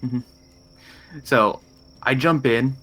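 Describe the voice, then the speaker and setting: A man with an ordinary mid-range voice takes part in a tabletop game. He talks casually and haltingly, working out his character's actions aloud. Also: medium pitch, sharp tone. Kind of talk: casual roleplay chat.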